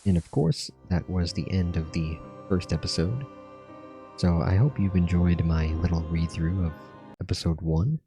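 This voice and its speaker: A man's voice: low voice, moderate pace, dark tone. A man giving casual commentary on a game story as a video creator who reads cutscenes aloud. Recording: music under the voice. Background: music.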